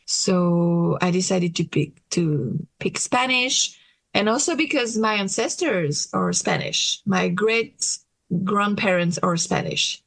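A woman in her late thirties with a French accent speaks English, her second language, in a relaxed interview, pausing often and restarting her sentences. Her voice has a gravelly edge, and the recording is close and dry. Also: fairly low pitch, dry recording. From France. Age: late 30s. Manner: hesitant, thoughtful, with many pauses and restarts. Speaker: interview guest. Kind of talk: casual interview conversation.

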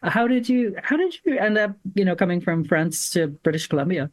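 A woman interviewer with a slightly low voice asks brief, encouraging questions and keeps the talk going with small acknowledgements. The sound is dry and close. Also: lively intonation, a little fast, no room sound. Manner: encouraging, asks short questions, frequent backchannel. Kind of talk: casual interview conversation.

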